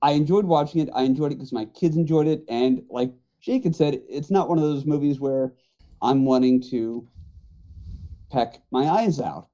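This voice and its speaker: A man's voice: mid-range voice, normal speed, mellow, dull audio. A middle-aged man chatting casually on a podcast panel about movies.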